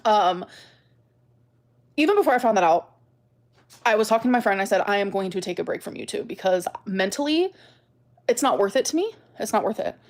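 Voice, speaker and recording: A woman's voice: mid-range voice, expressive, a little fast, pauses often. A woman video creator talking casually to her viewers, emphatic and defensive, often repeating herself.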